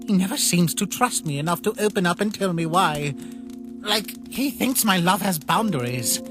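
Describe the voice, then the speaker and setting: Casting: male actor as kind-hearted naive skeleton brother for a comic dub, halting, heartfelt delivery, music underneath. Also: high voice. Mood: pained, tender. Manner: earnest, halting, softly pleading. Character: earnest, gentle, naive male skeleton, caring younger brother. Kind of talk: dramatic comic dub acting. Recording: voice over background music.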